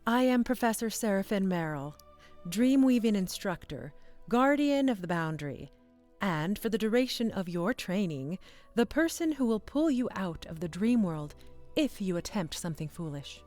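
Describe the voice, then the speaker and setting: Fantasy storybook mentor, voiced by a woman narrator: female professor, gentle but firm, calm authority, lifted pitch, music bed. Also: normal speed, natural voice. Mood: commanding. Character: calm, commanding woman professor of dream magic.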